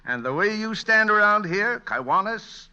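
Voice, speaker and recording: A man's voice: high-pitched, expressive, moderate pace, pushed and bright, smooth, exaggerated voice. An actor playing a jaunty, folksy con-man sidekick posing as a doctor, with brash colloquial patter in a vintage radio comedy. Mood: gleefully scheming. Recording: dull vintage radio recording.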